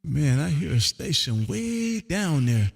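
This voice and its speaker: A man's voice: on the high side, sing-song, gravelly, background noise. Folksy ham radio man with heavy reverb. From Texas, United States. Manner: folksy showman, drawn-out call signs, peppered with "man". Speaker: amateur radio operator hosting a live stream. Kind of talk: ham radio contact calls and casual chat. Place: home radio room. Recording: long hall-like reverb.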